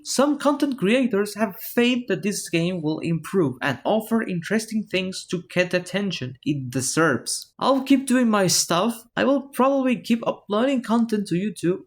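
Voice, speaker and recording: Animated non-native gaming commentator. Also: medium pitch. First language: Spanish. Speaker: gaming video creator. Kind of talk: ranking commentary on a game.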